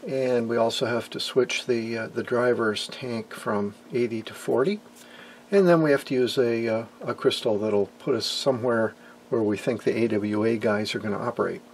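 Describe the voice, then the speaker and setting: A man with noticeable vocal fry talks through an informal hands-on demonstration. He explains like a hobbyist presenter, hesitating and pausing mid-phrase. His pitch sits in a middle range, over a faint background hum. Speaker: amateur radio hobbyist and presenter.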